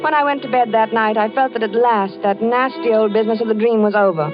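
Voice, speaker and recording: An actress playing a worried, devoted wife who narrates in a 1940s radio drama. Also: female voice, a little high, steady tone, fast, natural voice. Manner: anxious, coaxing. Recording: dull old radio broadcast with music.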